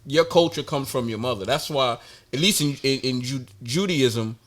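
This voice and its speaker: A man hosting a casual barbershop interview, talking in animated bursts and reacting with quick interjections. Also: medium pitch. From half Jamaican, raised in American culture. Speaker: host.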